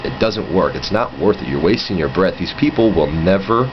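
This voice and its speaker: A low-pitched man talks casually and bluntly, with lively swings in intonation and an exasperated, opinionated manner. The home recording is muffled, with noticeable background noise. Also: normal speed. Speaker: vlogger. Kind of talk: casual opinionated monologue with acted-out dialogue.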